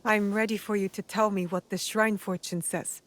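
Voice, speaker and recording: A cool, determined heroine voiced by a woman questions with calm directness. Her voice is steady and mid-pitched, pressing without raising it. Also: normal speed, natural voice. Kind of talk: video game cutscene acting.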